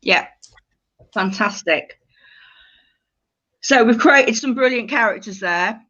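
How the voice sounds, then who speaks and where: A woman's voice: a little high, takes it slowly, a bit bright, highs cut off. A woman leading a writing workshop, giving informal, encouraging instructions and repeating them as she goes. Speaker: creative writing workshop leader.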